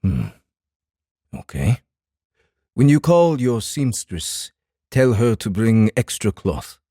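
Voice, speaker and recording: A guarded samurai in a dramatized fantasy audiobook. His voice is dark and husky, his phrasing formal and measured, with a calm air of command and a lively rise and fall. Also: on the low side, no room sound, studio quality, exaggerated voice. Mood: puzzled, commanding.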